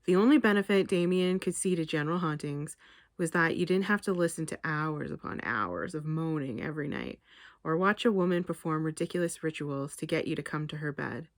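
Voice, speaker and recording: Woman author reading her story out loud, kinda low voice, measured storyteller, really dry sound. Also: no room sound. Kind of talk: literary narration read aloud.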